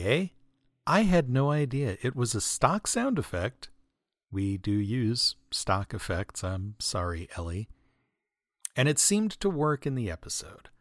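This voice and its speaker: A man with a very lively, swooping delivery and a mid-pitched voice hosts a comedy podcast. He reads scripted material with asides, mock-earnest and tongue-in-cheek, in a close, dry recording. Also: no echo. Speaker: podcast host reading listener mail. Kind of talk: scripted comic narration with asides.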